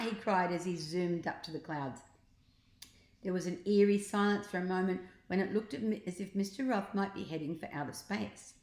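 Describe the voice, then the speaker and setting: An older woman reading a children's storybook aloud as a grandmother would, with expressive, drawn-out emphasis, in a roomy recording. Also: lowish voice. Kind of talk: storybook narration read aloud.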